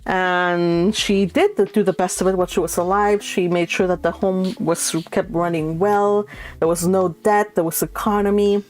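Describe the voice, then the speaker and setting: A woman's voice: on the low side, fairly even intonation, normal speed, darkish tone. Chatty woman hosting a read-along over music. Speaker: host of a book read-along. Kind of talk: casual live-stream chat and reading aloud of a classic novel.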